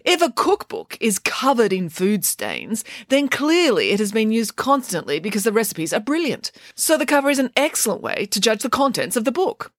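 Woman voicing storybook pig nanny, high pitch, swooping intonation, dramatic, opinionated, emphatic. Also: wildly swooping pitch, normal speed, few pauses, no echo, studio quality. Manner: emphatic, theatrical declarations.

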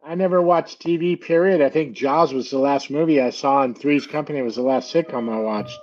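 A man's voice: mid-range voice, brisk, a little mellow, highs cut off. A man who is a musician, chatting as a guest and telling rambling, jokey stories. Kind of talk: casual chat.

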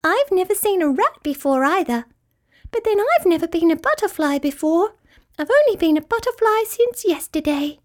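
Woman as a tiny, tinkly, very high butterfly. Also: big pitch swings, moderate pace. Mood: happy, chatty. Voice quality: tinkly, twinkly. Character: tiny, cheerful, carefree young female butterfly. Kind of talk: children's storybook character voice.

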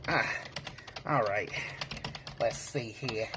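A man's voice: fairly low pitch, takes it slowly. Man acting a nervous bumbling tech-support rookie, noisy recording. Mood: panicky. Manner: hesitant, apologetic, stammering, whiny. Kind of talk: comedic character monologue. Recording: clearly noisy background, keyboard clicks.

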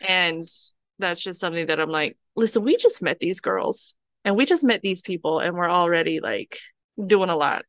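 A young adult woman co-hosting a podcast, briskly summarizing a film plot in casual chat. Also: fairly low pitch, fairly bright tone, no room sound, studio quality. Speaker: podcast co-host.

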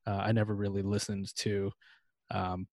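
Low-voiced young American man, casual. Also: normal speed, gravelly, no room sound. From United States. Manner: relaxed, many fillers and restarts. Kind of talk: casual podcast discussion.

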